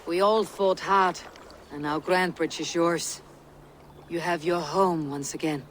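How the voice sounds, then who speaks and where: A woman's voice: lowish voice, steady tone, measured pace, a little hiss or hum, lightly acted, rough recording. Grim, steadfast Viking warrior woman played by an actress. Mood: solemn, respectful. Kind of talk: dramatic video game acting.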